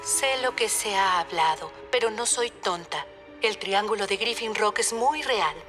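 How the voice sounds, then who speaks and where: A woman's voice: mid-range voice, unhurried, a bit bright, faint music behind, lightly acted. A woman playing a determined, proud scientist recording a formal research log in a Spanish cartoon dub. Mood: resolute. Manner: formal, resolute.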